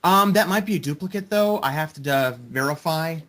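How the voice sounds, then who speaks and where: A man's voice: a little high, brisk, fairly bright tone. A man chatting casually in a group and explaining things in an earnest way. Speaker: group member.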